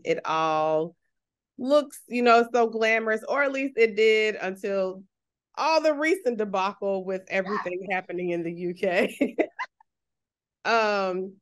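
A woman's voice: mid-range voice, a bit bright, clean tone. A woman with a Texan accent hosting a podcast, chatty, restarting phrases and laughing often. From Texas, USA. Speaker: podcast host, therapist. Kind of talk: casual podcast interview.

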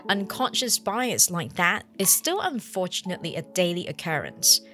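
A woman hosting a podcast, giving measured, reflective scripted narration. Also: fairly low pitch, big pitch swings, pushed and bright, faint music behind. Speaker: podcast host.

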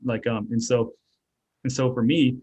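A man's voice: mid-range voice, level tone, unhurried, darkish tone, husky. Rambling man with many fillers. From Germany. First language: German. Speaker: author and artist guest. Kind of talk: casual interview talk.

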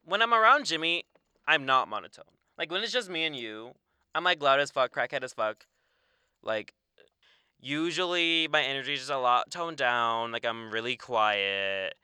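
A young man co-hosting a casual podcast, loose and profane, who says his natural voice runs monotone. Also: medium pitch, normal speed, clear, resonant tone, dry recording, studio quality. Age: young adult. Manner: loose, swears often, calls his usual voice monotone. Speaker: podcast co-host. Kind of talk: casual chat.

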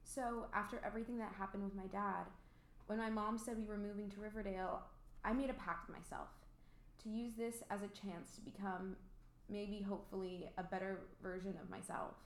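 A woman's voice: medium pitch, steady tone, a little fast, close to an everyday voice. A woman playing a bold, candid, rich teenage girl in an amateur radio play, with a theatrical, quippy delivery. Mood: confessional, regretful. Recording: roomy home recording.

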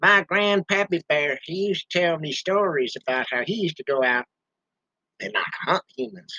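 A man's voice: on the high side, animated, moderate pace, sharp tone, dry recording, dull audio. A man playing a ranting bear podcaster. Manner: rambling hick grammar, angry ranting. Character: gruff grumbling male bear podcaster, ranting. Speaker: podcast host.

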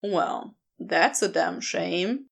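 A woman's voice: lowish voice, drawn-out words, gravelly, pitched below the performer's own voice, heavily acted. A woman voicing an easygoing, flirty male wanderer in a storybook reading, casual and candid. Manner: casual, laid-back, teasing.

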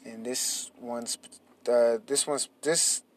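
A man's voice: medium pitch, steady tone, slow pace. A man co-hosting a casual talk show, rambling loosely with lots of fillers and teasing his co-host. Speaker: podcast co-host. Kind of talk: casual chat.

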